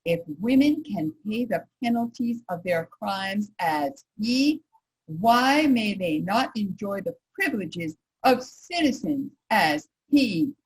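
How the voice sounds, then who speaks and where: A woman playing an earnest, resolute nineteenth-century suffragist, reciting in a measured, emphatic way for reader's theater. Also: medium pitch, big pitch swings, breathy edge, heavily acted, low-quality recording. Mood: firm, indignant.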